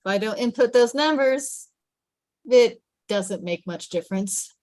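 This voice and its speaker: A woman's voice: on the high side, expressive, measured pace, a little mellow, dry recording, clean studio sound. Woman reading a wisecracking young male office worker. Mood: wry.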